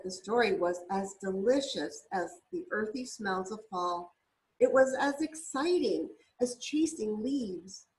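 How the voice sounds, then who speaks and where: A woman reading a children's story aloud expressively, with deliberate, drawn-out emphasis for young listeners. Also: medium pitch, poor audio. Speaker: storybook reader.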